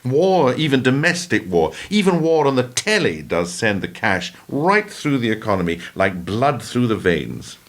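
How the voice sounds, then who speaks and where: A man's voice: mid-range voice, very animated, unhurried, few pauses. A man acting in a radio play as a weary, sardonic ageing former prime minister, telling his story in a wry, theatrical way. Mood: defiant, sarcastic. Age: older. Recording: noisy amateur radio play recording.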